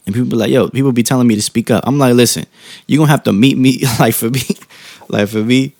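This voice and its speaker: Low, gravelly, soft-spoken man, calm and close. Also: no echo. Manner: calm, informal slang, halting rephrasing with frequent tag questions. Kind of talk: casual reflective monologue.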